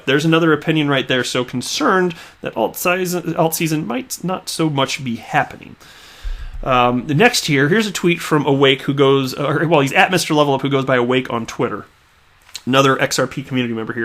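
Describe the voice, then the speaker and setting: A man's voice: a little high, fairly quick, a bit bright. A man from the American Midwest talking casually on his own video channel, chatty and full of fillers, often repeating his words. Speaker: crypto YouTuber. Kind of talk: casual commentary reading tweets.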